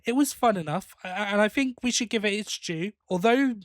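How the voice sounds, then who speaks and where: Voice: mid-range voice, lively intonation, fairly quick, no echo. Podcast host, dry close sound. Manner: opinionated, emphatic complaining. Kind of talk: casual review chat.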